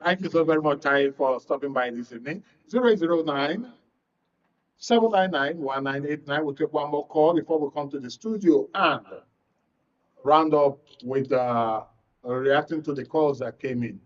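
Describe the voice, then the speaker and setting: A man's voice: on the high side, moderate pace, distant mic, muffled recording. A man with a Nigerian accent hosting a live call-in show, often repeating words as he goes. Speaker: radio call-in show host. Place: radio and TV studio.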